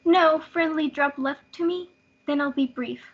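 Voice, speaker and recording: Casting: desperate, heartbroken teenage girl played by a female student, very high voice, school play reading, lo-fi audio. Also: a little slow. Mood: desperate resolve. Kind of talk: school reader's theatre performance. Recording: dull lo-fi audio.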